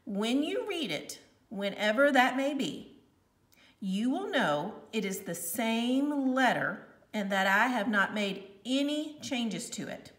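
Woman acting a prim older teacher, storybook, measured and slow, firm, distant mic. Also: a little high, expressive, fairly bright tone. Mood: resolute. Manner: formal, measured, deliberate. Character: stern, prim older female grammar teacher. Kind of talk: children's storybook read-aloud. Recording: echoey room, distant mic.

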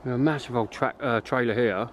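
A man's voice: medium pitch, moderate pace, a little hiss or hum. A man casually narrating as he explores an abandoned farm, wondering aloud and talking to viewers. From England. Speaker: urban explorer vlogger. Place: outdoors and in derelict farm barns.

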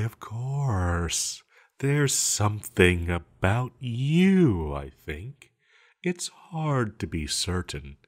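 A man playing a banished sorcerer villain, sly, seductive and scheming, speaking in a teasing, theatrical manner to the listener in an audio roleplay. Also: exaggerated voice. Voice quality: low-pitched. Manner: slow, teasing, theatrical, with lingering pauses.

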